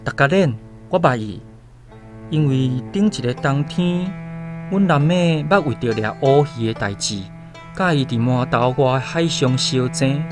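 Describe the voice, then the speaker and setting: A man's voice: mid-range voice, unhurried, close to an everyday voice. An actor playing a plainspoken, thoughtful male islander who recounts his story in a radio drama, with a Taiwanese accent. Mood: admiring, tense. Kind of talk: first-person radio drama narration. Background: faint guitar music.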